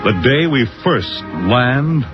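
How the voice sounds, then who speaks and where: An actor playing a portentous science-fiction narrator, theatrical and dramatic in the style of fifties radio. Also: male voice, mid-range voice, lively intonation, takes it slowly. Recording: dull vintage radio recording, loud music.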